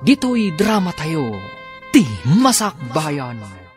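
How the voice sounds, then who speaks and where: A man's voice: fairly high pitch, very animated, very slow, faint music behind. A man announcing a radio drama series in a dramatic scripted style.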